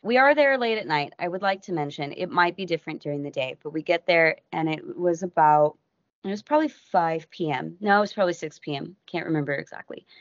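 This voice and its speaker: Lively woman telling a travel story. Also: low-pitched, a little fast, no room sound. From United States. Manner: animated, self-interrupting, acts out quotes. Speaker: podcast guest. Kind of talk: casual storytelling. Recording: dull lo-fi audio.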